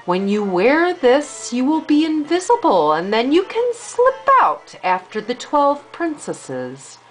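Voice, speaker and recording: A woman reading the part of a kindly old woman in a storybook, giving instructive, measured advice. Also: high voice, wildly swooping pitch, soft background music, heavily acted, low-quality recording. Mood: advising.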